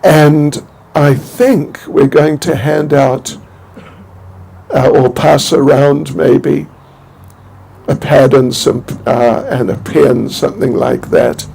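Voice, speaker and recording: Slow, gravelly older man giving a talk. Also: on the high side, warm tone. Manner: halting, rambling, with many restarts; chuckles to himself. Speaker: spiritual teacher. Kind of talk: informal spiritual talk.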